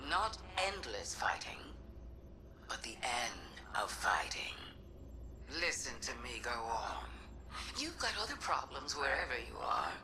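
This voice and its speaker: A woman playing a scheming alien witch queen in a game cutscene, taunting and philosophical in a measured, theatrical, breathy delivery, with music underneath. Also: very deep voice, sing-song, noisy background, exaggerated voice. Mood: mocking sympathy. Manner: measured, theatrical, drawn-out musing. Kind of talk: scripted game cutscene monologue.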